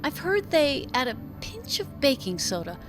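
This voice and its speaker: A woman's voice: sits high, very animated, normal speed, over music, pitched above the performer's own voice. A woman voicing an earnest, curious young female student in love, in audiobook dialogue. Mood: polite.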